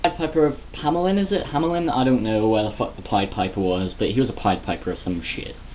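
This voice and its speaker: A man's voice: lowish voice, fast, darkish tone, slight background noise. A man giving a sarcastic, crude, rambling comedic rant in a casual vlog. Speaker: vlogger.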